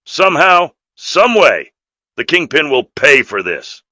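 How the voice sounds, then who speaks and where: Guy doing a serious city official in a game, sharp voice, earnest, super dry recording. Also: a little high, sing-song, a little slow, no room sound, full character voice. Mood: upset, indignant. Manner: earnest, somber. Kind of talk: scripted fan-made game voice acting.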